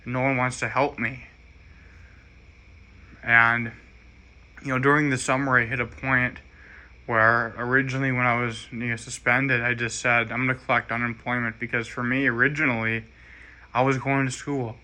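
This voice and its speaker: An American man giving a rambling, halting personal monologue in an earnest, aggrieved manner. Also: mid-range voice, level tone, bright, forceful tone.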